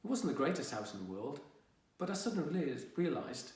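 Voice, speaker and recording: A man's voice: fairly high pitch, very animated, fairly quick, darkish tone, distant mic. A man voicing a sullen, indignant fifteen-year-old boy telling his own story in a wry, exasperated way. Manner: wry, dramatic, exasperated teenage grumbling. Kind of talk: first-person young adult audiobook reading. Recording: very echoey, reverberant room.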